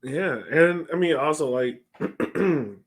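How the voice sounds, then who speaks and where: A man's voice: on the high side, slow pace, breathy edge. An American man in his thirties chatting casually about sports as a podcast host on a video call. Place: home room, indoors, on a video call.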